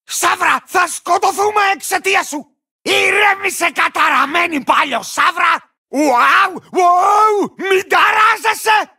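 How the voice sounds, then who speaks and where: An actor playing an unhinged, cranky bandit lizard-rider in a video game, boastful and fiercely territorial, with a gravelly, shrill voice and a manic, belligerent manner. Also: male voice, sharp tone, shouting, exaggerated voice. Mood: frantic, exasperated. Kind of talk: shouted video game character lines.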